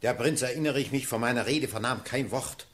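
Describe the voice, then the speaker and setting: A man's voice: mid-range voice, brisk, a bit bright, natural voice. An actor playing an emphatic military officer addressing his sovereign in declamatory verse in a classical radio play.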